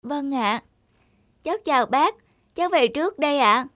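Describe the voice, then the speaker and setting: High-pitched, gentle young boy. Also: expressive, moderate pace, no room sound. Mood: polite. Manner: polite, soft-hearted, earnest. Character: gentle, sickly young schoolboy, polite and compassionate. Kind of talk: cartoon dubbing. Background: background music.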